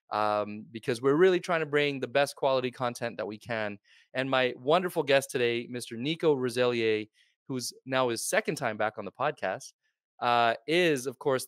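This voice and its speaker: A man's voice: mid-range voice, a bit bright, dry recording. A man hosting a podcast, talking in an earnest, friendly way with frequent fillers. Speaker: podcast host. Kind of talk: casual interview chat.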